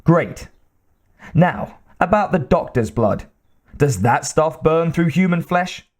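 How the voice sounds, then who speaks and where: A man voicing a gruff, sarcastic male company boss with a booming way of talking. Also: lively intonation, takes it slowly.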